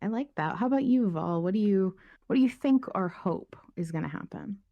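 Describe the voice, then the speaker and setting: A woman's voice: mid-range voice, animated, fairly quick, gravelly, no echo, lo-fi sound. A woman hosting a casual podcast discussion, chatting informally with her guests. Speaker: podcast host.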